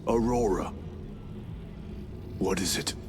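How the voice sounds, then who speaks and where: An actor playing a stoic, battle-hardened lieutenant in a video game, with a clipped, restrained manner. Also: male voice, sits low, slow, background noise. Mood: grim, guarded. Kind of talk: video game cutscene acting.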